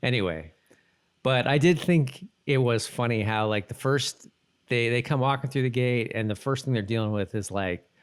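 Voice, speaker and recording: A man's voice: mid-range voice, normal speed, clean tone. A man co-hosting a podcast, recapping a TV episode in a loose, conversational way, thinking aloud as he goes. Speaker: podcast co-host. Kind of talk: casual chat recapping a TV episode.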